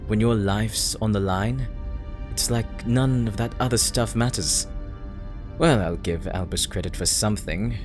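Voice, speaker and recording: A man's voice: lowish voice, close to an everyday voice. A man playing an anxious, earnest young paladin pilot in an audio roleplay, hesitant and formal in his wording.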